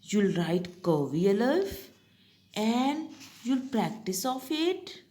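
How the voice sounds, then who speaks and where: Slow, lively Pakistani woman teacher. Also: on the high side. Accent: Pakistani English. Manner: slow, patient, step-by-step instruction. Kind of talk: explaining summer tasks to young pupils.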